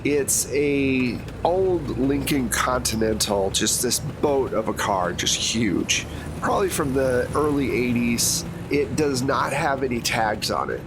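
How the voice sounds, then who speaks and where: Man, game master, unhurried narration, relaxed, middle pitch, noisy background. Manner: relaxed, descriptive, frequent "like" fillers.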